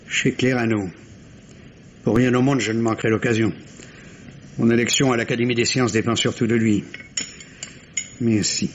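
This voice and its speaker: A middle-aged French surgeon husband played by a male actor, cool, formal and impatient, in 1950s radio drama style. His mid-pitched voice speaks with clipped exasperation. The old recording is dull, with some background noise. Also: steady tone, normal speed, pauses often, close to an everyday voice. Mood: irritated.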